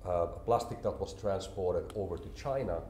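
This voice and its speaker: A man, a company CEO explaining his work calmly and in a measured way in an interview. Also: deep voice, a little hiss or hum. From Finland. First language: Finnish. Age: 40s. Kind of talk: business interview. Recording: roomy echo, faint ambience.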